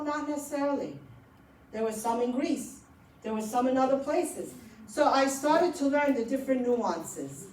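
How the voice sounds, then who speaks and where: A middle-aged woman from Iran, an author answering audience questions at a library talk and telling her story in an animated way. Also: sits high. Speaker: memoir author. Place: library event hall. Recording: roomy, distant with faint noise.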